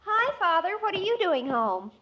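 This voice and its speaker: Very high-pitched American teenage daughter. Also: female voice, very animated, moderate pace, lo-fi sound. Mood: earnest, huffy. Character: earnest, slightly huffy teenage daughter. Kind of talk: 1950s radio sitcom acting. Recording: noisy old radio broadcast recording.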